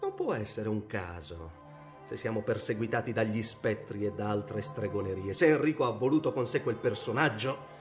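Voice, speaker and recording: A man's voice: on the low side, moderate pace, faint music behind, natural voice. An actor playing an imperious, volatile, cruel medieval king in a radio drama, with a haughty, theatrical, menacing delivery.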